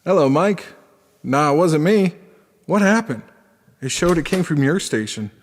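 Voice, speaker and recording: Reverberant, easygoing station colleague. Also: male voice, on the high side, lively intonation, a little slow, slight background noise. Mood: casual, helpful. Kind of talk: sci-fi audio drama acting.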